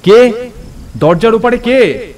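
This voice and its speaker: A man's voice: extremely high pitch, expressive, slow, a little mellow, voice pushed up, exaggerated voice. A man voicing an anxious man in a horror cartoon who calls out questions in a raised, urgent voice. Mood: alarmed. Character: anxious, sickly man sheltering in a graveyard, calling out.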